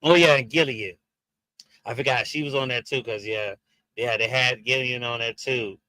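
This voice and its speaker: A man's voice: mid-range voice, normal speed, pushed and bright, no room sound, rough recording. A man with a Jamaican and Haitian accent hosts a casual streamed wrestling review, hesitant and full of fillers and restarts as he talks to his viewers. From Jamaican and Haitian background. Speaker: wrestling review show host.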